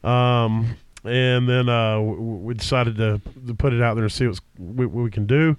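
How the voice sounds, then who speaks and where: Podcast host, man, mid pitch, smooth tone, a bit stuffed up, loose rambling delivery, close dry mic. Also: steady tone, moderate pace, no room sound. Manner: rambling with frequent fillers and restarts. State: stuffy nose from a cold. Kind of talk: casual chat. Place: home basement. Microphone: podcast microphone.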